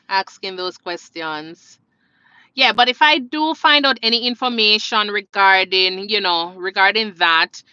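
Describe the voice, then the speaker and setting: A woman's voice: medium pitch, bright, forceful tone, clean tone, no echo, lo-fi sound. A woman with a Jamaican accent hosting a casual live question-and-answer stream, chatting conversationally and addressing viewers directly. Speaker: live stream host, nurse.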